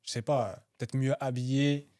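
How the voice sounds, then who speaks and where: A man's voice: mid-range voice, steady tone, normal speed, a little mellow. A man hosting a casual interview, asking curious follow-up questions with fillers.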